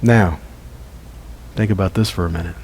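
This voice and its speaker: A man's voice: sits low, normal speed, gravelly, darkish tone, husky. A middle-aged man teaches scripture in a folksy way, asking his listeners questions and chuckling often. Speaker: Bible teacher. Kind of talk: casual Bible teaching.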